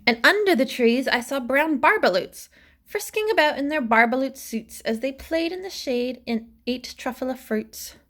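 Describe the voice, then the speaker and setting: A woman reading a rhyming children's story aloud with expressive, dramatic phrasing. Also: sits high, moderate pace. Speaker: storybook reader.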